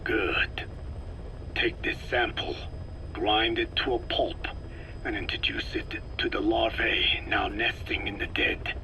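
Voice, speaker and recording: An actor playing a grave, cryptic old scientist in a video game, with a breathy, raspy voice and formal, deliberate phrasing. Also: male voice, lowish voice, steady tone, pushed and bright, whispered. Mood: grave, commanding. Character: frail, ancient scientist, grave and cryptic elder. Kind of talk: dramatic video game voice acting, expository. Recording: clearly noisy taped field recording.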